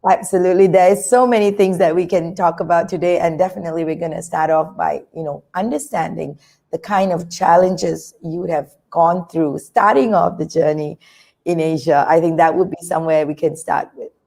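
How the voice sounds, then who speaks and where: Gravelly, dark-voiced woman host. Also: fairly low pitch, fairly even intonation, moderate pace. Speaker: interview host. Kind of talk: introducing and asking questions.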